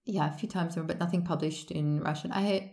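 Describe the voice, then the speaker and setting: A woman's voice: lowish voice, fairly even intonation, fairly quick, a little mellow, roomy sound. A woman who grew up in Australia, answering interview questions in a thoughtful, hesitant way with many fillers and restarts. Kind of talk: casual interview conversation.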